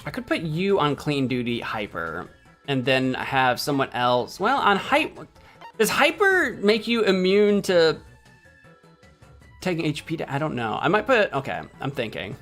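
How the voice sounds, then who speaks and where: A man's voice: a little high, sing-song, unhurried, fairly bright tone, faint music behind. An American man casually thinking aloud while playing a game on stream, rambling, correcting himself and bantering with chat in a mock-indignant way. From United States. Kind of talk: casual gameplay commentary.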